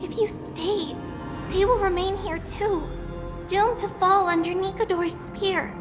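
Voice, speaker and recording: Very high-pitched, earnest little priestess girl. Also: fairly even intonation, unhurried. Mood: earnest, pleading. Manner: earnest, formal, speaks as "we". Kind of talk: video game voice acting. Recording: loud game music underneath.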